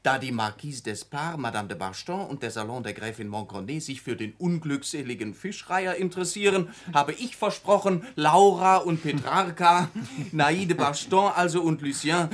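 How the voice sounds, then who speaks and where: An actor plays a worldly male journalist in a German radio drama. His voice sits on the high side, and he speaks with urbane, mocking eloquence. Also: moderate pace, lightly acted. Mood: persuasive. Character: suave, mocking male journalist, worldly and cynical man-about-town.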